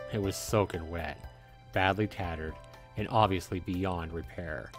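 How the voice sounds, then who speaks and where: Male narrator, deep register, earnest and measured, dramatic scripted story read aloud over music. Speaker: narrator reading a visual novel aloud.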